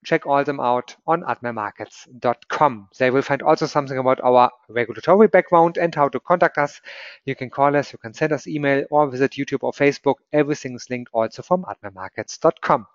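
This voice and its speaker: A man's voice: mid-range voice, a little fast, dry recording. German-accented man hosting a webinar. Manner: upbeat, scripted intro with disclaimers. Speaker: webinar host. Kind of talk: presenting a trading webinar. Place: office. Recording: dull lo-fi webinar audio, dry.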